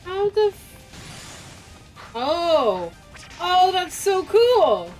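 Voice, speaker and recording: High-voiced woman streamer, bubbly and giggly, talks slowly with big swoops in pitch, faint game music under her. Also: big pitch swings, rough recording. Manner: bubbly, greets chatters, laughs often. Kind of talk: casual live-stream chat.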